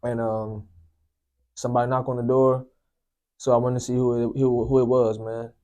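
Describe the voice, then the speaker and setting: A young man from Sri Lanka, a guest telling his life story in a soft, unhurried way, often filling with "you know, man". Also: mid-range voice, level tone. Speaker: guest sharing testimony. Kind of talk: personal testimony, casual storytelling.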